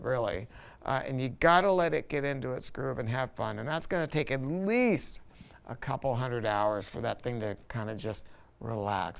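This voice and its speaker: A man's voice: a little high, gravelly, smooth. A man presenting a casual product review in a folksy, colloquial, emphatic salesman's way. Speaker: audio shop presenter reviewing a loudspeaker.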